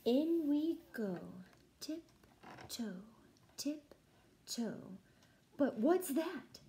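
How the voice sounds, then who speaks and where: A young woman, a music teacher, reading a children's picture book aloud in a rhythmic, sing-song, deliberate chant. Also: sits high, expressive, warm tone, slight background noise. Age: young adult. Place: small room at home, indoors.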